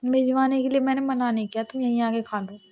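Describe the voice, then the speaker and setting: A woman's voice: high voice, steady tone, quick pace, warm tone. A woman in her thirties talking casually and informally on a personal call. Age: 30s.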